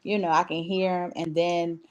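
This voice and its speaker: Low-voiced woman telling stories casually. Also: level tone, moderate pace, dull audio. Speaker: stage actress, interview guest. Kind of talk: casual conversational interview answers.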